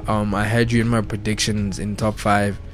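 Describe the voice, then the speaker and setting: A young man giving a casual, slangy football rant, excitable and full of swearing and repetition. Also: on the low side, moderate pace, faint music behind. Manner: slangy, excitable, swears a lot, repeats himself. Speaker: football vlogger.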